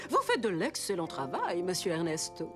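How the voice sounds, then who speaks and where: A woman voicing a proud, formal superhero school director in an animated cartoon, speaking ceremonially. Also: lowish voice, moderate pace, music in the background. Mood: praising.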